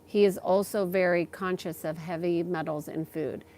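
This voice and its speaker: Lower-pitched woman, halting livestream chat. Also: fairly even intonation, darkish tone. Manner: halting, thinking aloud, reads questions out then answers. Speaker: livestream host, energy healer and spiritual guide. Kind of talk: casual livestream chat answering viewer questions.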